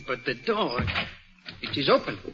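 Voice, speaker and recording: An actor playing a fastidious, self-assured detective with a French accent, explaining precisely in formal foreign phrasing in an old radio drama. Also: male voice, medium pitch, sing-song, heavy background noise. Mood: brisk, observant. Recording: muffled old radio recording.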